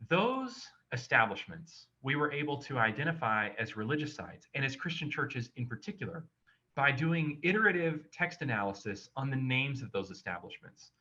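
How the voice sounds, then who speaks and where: A man walks through his research in a measured, explanatory way, with the odd filler as he goes. His voice has a bright, forward edge. The call audio comes through slightly muffled and lo-fi. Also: medium pitch, very animated. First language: not Chinese. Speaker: research presenter. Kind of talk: academic webinar presentation. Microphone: video call.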